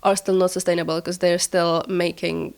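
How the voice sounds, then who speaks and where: A woman's voice: on the low side, little pitch movement, normal speed, gravelly. A woman hosting a podcast in a casual, explanatory style while she has a cold, informal and often rewording herself as she goes. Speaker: podcast host.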